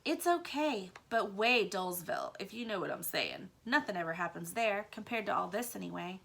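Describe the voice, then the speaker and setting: A woman's voice: medium pitch, expressive, normal speed, gravelly, fairly bright tone. A woman voicing a laid-back young male mouse from the city, talking in casual slacker slang for a children's story. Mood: upbeat, cool. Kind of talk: children's book read-aloud.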